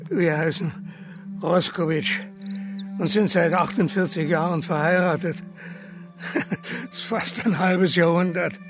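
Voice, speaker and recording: An actor playing an effusively polite, chatty elderly tourist in a radio play. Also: male voice, fairly high pitch, fairly even intonation, unhurried, pauses often, music in the background. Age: older. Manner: ceremonious, chatty, courteous.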